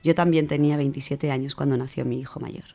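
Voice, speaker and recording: A woman with a low voice and an accent from Spain presents books on a radio show. She talks informally and enthusiastically, in colloquial phrases, with faint music behind her. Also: steady tone, fast, vocal fry, dark tone. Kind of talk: informal spoken book review.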